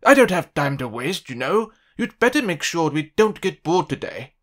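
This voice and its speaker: A narrator voicing a rude, irritable man in a children's storybook, blustering loudly and indignantly. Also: medium pitch, sing-song, moderate pace. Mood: impatient roaring. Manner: roaring, indignant, emphatic.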